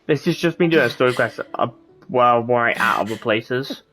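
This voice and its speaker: A man's voice: mid-range voice, normal speed, fairly bright tone. A man giving casual gameplay commentary as a streamer, rambling and often trailing off mid-thought. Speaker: game streamer. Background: game music.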